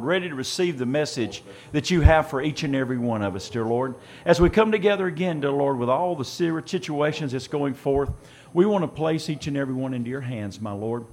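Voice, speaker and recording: A man with a voice raspy from an earlier service, leading a church prayer in a steady, repetitive cadence. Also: mid-range voice.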